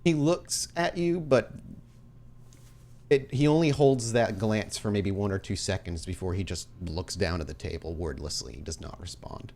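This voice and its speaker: A man narrating a tabletop horror roleplay as game master, with dramatic, deliberate scene-setting. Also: low-pitched, darkish tone.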